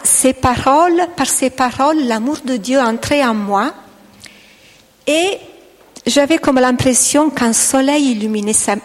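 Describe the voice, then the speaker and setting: A middle-aged woman with an Italian accent, giving a gentle, hesitant personal faith testimony to an audience. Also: fairly high pitch, in a large hall. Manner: gentle, hesitant, with frequent restarts.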